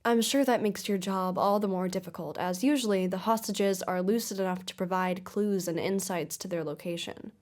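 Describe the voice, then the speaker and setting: A woman's voice: medium pitch, moderate pace, hardly any pauses, darkish tone, close to an everyday voice. A woman playing a probing investigative mystery show host in an audio drama, speaking in a polished, formal, inquisitive manner. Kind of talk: audio-drama broadcast interview and narration.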